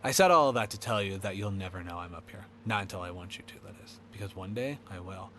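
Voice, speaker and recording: A man's voice: sits low, quick pace, sharp tone, a little hiss or hum. A man voicing an unrepentant killer hiding in an attic, sinister and darkly glib, in a first-person horror monologue. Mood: hushed threat.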